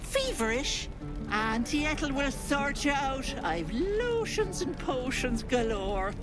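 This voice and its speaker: A woman's voice: high-pitched, very animated, slow pace, exaggerated voice, poor audio. An actress voicing a doting, sing-song old woman, a hag in disguise in a video game. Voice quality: fussing, sing-song, grandmotherly. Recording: game audio with music behind.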